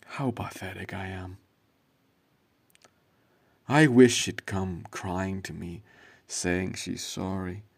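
A man's voice: sits low, fairly even intonation, slow pace, gravelly, pauses often, husky, exaggerated voice. A man voicing a heartbroken, kindly, easily startled young man in a dramatic manga dub. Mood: gloomy, brooding.

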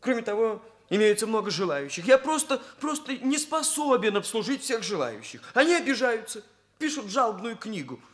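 A man's voice: high-pitched, sing-song, moderate pace, fairly bright tone. An actor playing an earnest young male hairdresser who talks in stilted, pompous officialese in a Soviet radio play. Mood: aggrieved, earnest. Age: young adult. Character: earnest, aggrieved young male hairdresser, stiffly bureaucratic speech. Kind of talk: Soviet radio drama acting.

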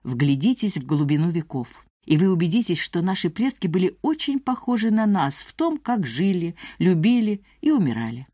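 A woman narrating a nonfiction audiobook, reading from a script with measured, articulate phrasing. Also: lowish voice, sing-song, warm tone, no echo, studio quality.

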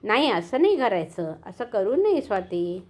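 A woman voicing a calm, patient mother in a storybook tale, reasoning gently and slowly. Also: medium pitch, voice pushed down. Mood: gentle. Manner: soothing, reasoning, measured.

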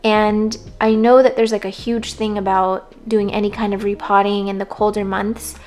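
A hoarse woman casually talking through plant care tips, relaxed and rambling. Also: mid-range voice, moderate pace. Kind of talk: casual voice-over talk.

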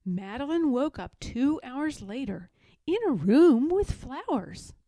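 A woman reading a children's picture book aloud in a deliberate, expressive storytelling manner. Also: high voice, no room sound, clean studio sound. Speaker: storybook reader.

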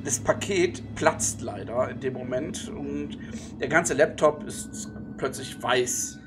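A man's voice: mid-range voice, slow pace. A man acting as game master, improvising narration and giving casual rulings in a tabletop role-playing game. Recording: music playing behind the voice. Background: background music.

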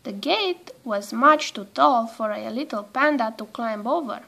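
A woman reading a children's storybook aloud in an expressive, measured storytelling manner. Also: on the high side, creaky. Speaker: storybook reader.

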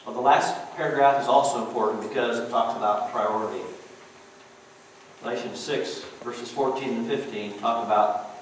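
A man's voice: medium pitch, steady tone, moderate pace. A middle-aged man preaching a sermon in a church sanctuary, in a folksy, conversational way with frequent "you know"s. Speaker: pastor. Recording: very echoey church room, distant mic, faint hiss.

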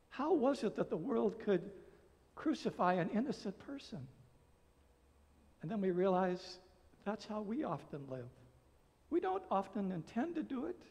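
Man in his sixties, American accent, high-set voice, pastor's sermon delivery, earnest, deliberate phrasing, long church reverb. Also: gravelly, a little mellow. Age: 60s. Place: church sanctuary, at a pulpit. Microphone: gooseneck pulpit microphone.

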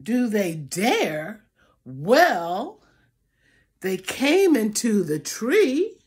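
A woman's voice: mid-range voice, sing-song, extremely slow, husky. An older woman reading a picture book aloud to children, theatrically drawing out phrases and adding asides to the listeners. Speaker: storybook reader. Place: small room at home, indoors.

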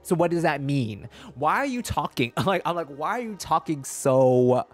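A man's voice: a little high, very animated, moderate pace. A man in his twenties giving casual, sassy, slangy reaction commentary as a game streamer. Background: game music.

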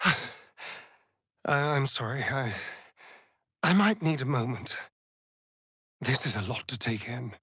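An actor playing a sardonic, vain vampire rogue in a video game, with an arch, theatrical, drawling delivery. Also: male voice, medium pitch, animated, slow, pauses often, sharp tone, husky, dry recording, clean studio sound, exaggerated voice. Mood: shaken, quiet. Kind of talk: video game character lines.

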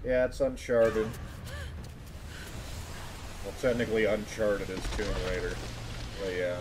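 A man's voice: fairly low pitch, a little slow, background noise. A man streaming a game, giving casual play-along commentary in short blurted reactions and swearing freely. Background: game music and dialogue.

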